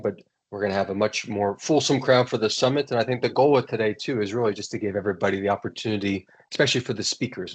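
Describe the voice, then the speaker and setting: An American man hosting an online webinar, introducing the event and speakers in a relaxed, rambling way. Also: fairly low pitch. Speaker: webinar host.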